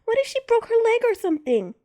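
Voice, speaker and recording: A woman voicing a small excitable girl wolf-kit with a shrill, whiny, piping voice. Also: fast talker. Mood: shrill scolding.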